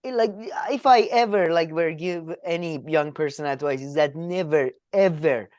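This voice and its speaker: A woman co-hosting a comedy podcast, whose first language is Persian, talking in a casual, animated way, swinging between loud and soft and often restarting her sentences. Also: low voice, moderate pace, no room sound. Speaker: podcast co-host.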